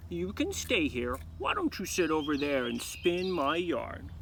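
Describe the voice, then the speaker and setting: Male storyteller as old fairytale witch, loud, stern, commanding, fairly high voice, noticeable background noise. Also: huge pitch swings, unhurried, gravelly, full character voice. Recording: noisy live recording.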